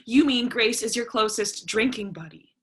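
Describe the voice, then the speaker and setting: A woman's voice: a little high, normal speed, lightly acted. A woman playing a grieving, defiant eighteen-year-old American daughter in a play reading, emotional and argumentative. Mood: defiant, accusing.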